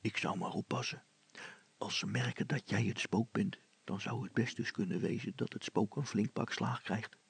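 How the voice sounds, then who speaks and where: A man playing a grumpy, cautious father who speaks in a hushed, grumbling tone. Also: steady tone, normal speed, gravelly, husky, exaggerated voice. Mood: cautioning. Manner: hushed, grumbling, low-key. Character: grumpy but cautious father, older than his boy.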